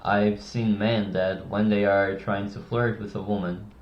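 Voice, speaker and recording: A man's voice: fairly low pitch, little pitch movement, unhurried, a little hiss or hum. A man teaching how to use tone of voice, with a casual, rambling manner, and a habit of swearing. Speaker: communication coach. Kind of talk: casual explainer lesson. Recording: roomy, dull lo-fi sound.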